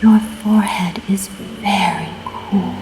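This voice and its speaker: A woman narrating a scripted guided meditation in a soft, breathy, often near-whispered voice, calm and soothing, in short deliberate phrases. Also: mid-range voice, background noise. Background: music.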